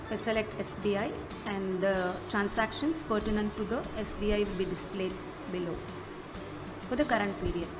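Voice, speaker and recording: A woman presents a software walkthrough in an instructional manner, with halting phrasing. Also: medium pitch, fairly even intonation. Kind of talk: step-by-step app walkthrough narration. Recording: music behind the voice. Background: clearly audible music.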